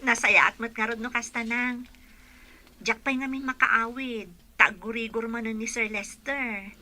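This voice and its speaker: A woman playing a cheeky, dutiful young caregiver in a radio comedy drama, brisk and playful, with faint noise behind her. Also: on the high side, steady tone, pushed and bright. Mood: affectionate.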